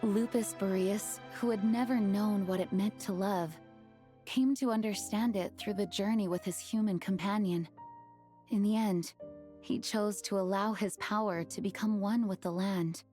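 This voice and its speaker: Actress doing a dutiful young lady knight commander, formal n measured, game dialogue over music. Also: medium pitch, steady tone, mellow, natural voice. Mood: measured, explanatory. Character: composed, dutiful young woman acting as knight leader. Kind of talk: video game voice acting, scripted dialogue.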